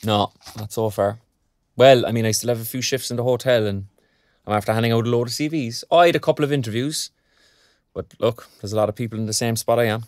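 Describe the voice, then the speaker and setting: A young Irish graduate, played by an actor, chatty and good-natured. He talks in an everyday, colloquial way with friendly concern, in a medium-pitched male voice. It is an audio drama with a very dry sound. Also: fast talker, darkish tone, no echo, lightly acted. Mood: casual. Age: young adult. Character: kindly, chatty young job-seeking graduate.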